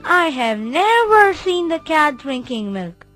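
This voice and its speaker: A woman voicing Lakshmi, Raman's gentle adult wife, in an animated children's story, speaking earnestly. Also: high-pitched, slow, soft background music, heavily acted.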